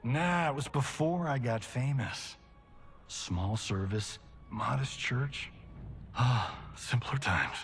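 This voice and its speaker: A man's voice: mid-range voice, takes it slowly. An actor playing a cocky, laid-back male movie star who talks in breezy slang. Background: cinematic music.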